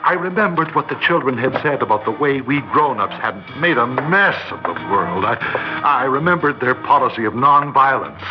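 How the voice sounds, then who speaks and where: A man's voice: medium pitch, lively intonation, normal speed, close to an everyday voice. A man acting an agitated small-town schoolteacher, urgent and desperate to be believed, in old-time radio drama style. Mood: tense narrating. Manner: urgent, stammering when flustered. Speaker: narrator and lead character. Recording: muffled old radio recording, music behind.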